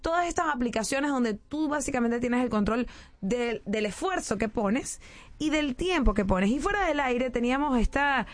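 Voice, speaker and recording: A young woman in her late twenties hosting a talk show, chatty and animated, inviting listeners to call in. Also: on the high side, normal speed, no echo. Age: late 20s. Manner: chatty, animated, addresses callers. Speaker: radio host. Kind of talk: casual talk show chat.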